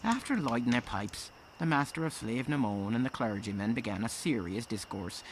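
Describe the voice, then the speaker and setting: A man playing a folksy fireside storyteller with an Irish accent and a creaky voice, narrating a tale. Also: mid-range voice, lively intonation, moderate pace, a little hiss or hum, close to an everyday voice, poor audio. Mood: storytelling. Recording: music underneath.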